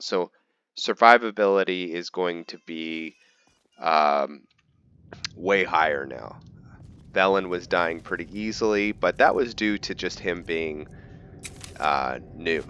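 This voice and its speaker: Man, deep voice, unhurried, casual gameplay commentary, rambling explanations, dull lo-fi recording. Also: bright, forceful tone, smooth, no echo. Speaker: gameplay host. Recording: dull lo-fi mic with faint noise.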